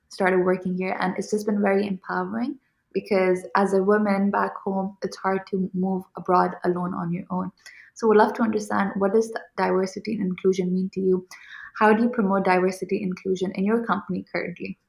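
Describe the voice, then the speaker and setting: A woman's voice: medium pitch, little pitch movement, brisk, gravelly, a little mellow, poor audio. A young woman from Pakistan interviewing a guest, polite and affirming, thanking him often. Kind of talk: interview questions.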